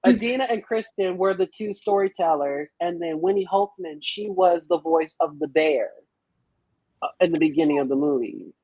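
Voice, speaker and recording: A woman's voice: lowish voice, normal speed, mellow, no echo, rough recording. A woman chatting casually as a podcast panelist about a film, slangy, profane and given to theatrical retellings. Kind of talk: casual animated chat.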